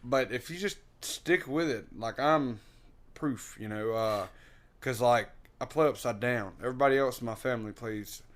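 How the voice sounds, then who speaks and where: A man talking as an interview guest in a relaxed, rambling way, with lots of fillers and frequent laughs. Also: medium pitch, normal speed. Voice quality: loud singing voice. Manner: laughs often. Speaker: interview guest, singer and guitarist. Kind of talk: casual interview chat.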